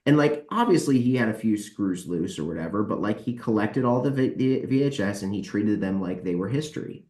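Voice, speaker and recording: Male podcast guest, lowish register, emphatic conversational delivery, roomy sound. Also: lively intonation, brisk, darkish tone. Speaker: podcast guest, author and editor. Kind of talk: casual podcast discussion. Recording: roomy, echoey voice pickup.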